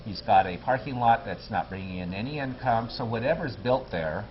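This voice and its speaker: Low-voiced man in a lo-fi interview. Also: lively intonation, moderate pace. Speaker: political candidate. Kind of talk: local TV interview guest.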